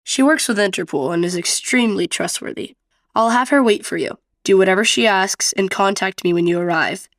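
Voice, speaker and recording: A woman's voice: medium pitch, fairly quick, no room sound, studio quality, pitched below the performer's own voice, close to an everyday voice. A woman voicing a stern male detective in a radio play, speaking in an authoritative, businesslike way. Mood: firm.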